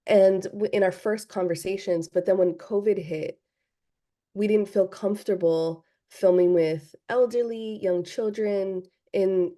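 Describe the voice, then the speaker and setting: A woman's voice: on the low side, dark tone. A woman from Hawaii, a film director answering interview questions thoughtfully, with frequent ums and restarts.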